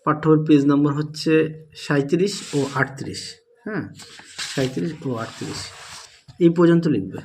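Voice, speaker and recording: A man's voice: a little high, animated, fairly quick, long pauses, a little mellow, slight background noise. A man teaching, dictating exam answers and often repeating key points. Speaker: teacher presenting exam solutions. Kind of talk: instructional walkthrough of questions and answers.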